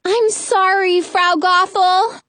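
A woman's voice: extremely high pitch, lively intonation, slow pace, a bit bright, pitched above the performer's own voice, full character voice. A woman voicing a frightened, naive fairy-tale girl, pleading and hesitant. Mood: timid, apologetic.

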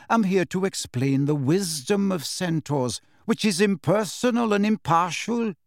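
A man voicing a calm, stately male centaur teacher who speaks in a measured, aloof way. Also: on the high side, dry recording, studio quality. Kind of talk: audiobook character voice.

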